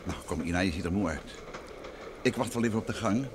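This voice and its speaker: An actor playing a calm, attentive middle-aged husband and gentleman detective in an old Dutch radio play. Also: fast, close to an everyday voice. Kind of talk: mid-20th-century Dutch radio play acting. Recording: old radio recording, clear train rumble noise.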